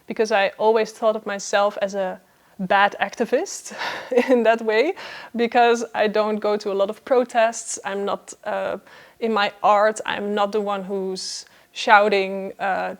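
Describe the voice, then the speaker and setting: A woman giving a reflective interview answer, halting as she searches for the right words. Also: mid-range voice. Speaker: theatre artist being interviewed.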